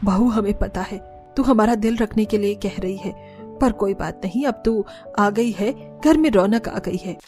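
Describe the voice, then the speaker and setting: A woman's voice: mid-range voice, measured pace, darkish tone, soft background music, natural voice. A woman voicing a humble middle-aged mother-in-law in a cartoon, motherly and apologetic. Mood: welcoming, apologetic. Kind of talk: Hindi cartoon story dialogue.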